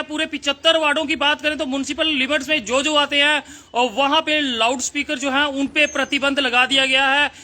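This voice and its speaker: A man's voice: very high voice, pushed and bright, slight background noise. A man presenting a news report to viewers, with repetitive, emphatic phrasing.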